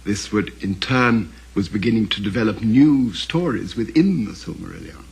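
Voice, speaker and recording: An older man, speaking in a halting, deliberate, scholarly way as he reflects in an interview on his father's work. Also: on the low side, animated, creaky, husky. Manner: halting, deliberate, scholarly, with repeated self-corrections. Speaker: interviewee, author's son recalling his father.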